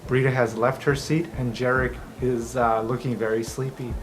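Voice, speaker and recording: A man's voice: medium pitch, little pitch movement, normal speed, slight background noise, rough recording. Casual male game master narrating.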